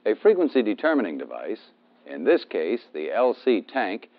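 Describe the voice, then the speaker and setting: A man's voice: lowish voice, wildly swooping pitch, moderate pace. An American man giving methodical instructional narration as a training film instructor, stressing key terms. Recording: dull, band-limited 1970s film soundtrack.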